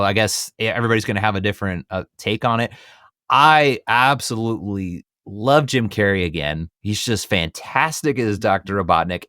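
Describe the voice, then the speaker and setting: Low-voiced man on a dry podcast mic. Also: a bit bright, no echo. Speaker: podcast co-host. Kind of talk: casual movie discussion podcast.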